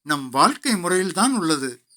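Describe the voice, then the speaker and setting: A man's voice: sits high, very animated, moderate pace, pushed and bright, full character voice. A man voicing an earnest, eager king in a declaratory, commanding manner. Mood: approving.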